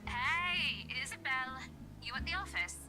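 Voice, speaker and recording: A woman's voice: high-pitched, expressive, pitched above the performer's own voice. A woman voicing a brusque, bossy female friend who rambles and complains, over background music. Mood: brisk. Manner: brisk, bossy.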